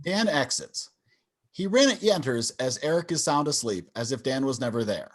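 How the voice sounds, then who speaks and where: Male narrator, mid-pitched, lively varied intonation, scripted stage directions. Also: moderate pace. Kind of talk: scripted narration at a table read. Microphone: video call.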